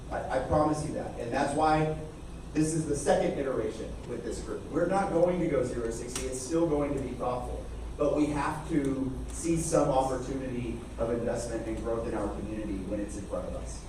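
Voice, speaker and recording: A man's voice: medium pitch, a little fast. A man, an elected representative, speaking firmly and reassuringly to residents at a meeting. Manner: firm and reassuring. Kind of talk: public remarks at a meeting. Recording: echoey hall, mic far off, noisy.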